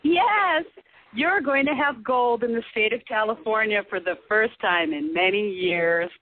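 Blunt, wisecracking woman, played by an actress for radio play: raspy, higher register, lo-fi sound. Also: unhurried. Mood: wry, joking. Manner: sardonic, teasing. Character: wry, blunt, wisecracking sister. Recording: tinny phone-like lo-fi audio.

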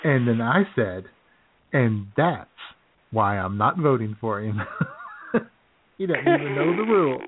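A man co-hosting a chat show, telling a story in a relaxed way, often chuckling and clearing his throat. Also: medium pitch, a little slow, pauses often, noisy background. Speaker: podcast co-host. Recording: phone-like lo-fi audio.

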